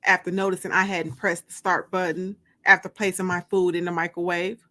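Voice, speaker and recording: A woman hosting a livestream as an author, chatting and reading dramatically from a book with drawn-out, emphatic delivery. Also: fairly low pitch, little pitch movement, pushed and bright. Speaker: host and author.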